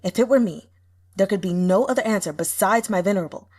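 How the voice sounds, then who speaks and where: A man's voice: sits high, quick pace, darkish tone. A woman voicing a menacing, impatient male sect lord in an audiobook, blunt, imperious and scornful. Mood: scornful, intense. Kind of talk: audiobook character acting.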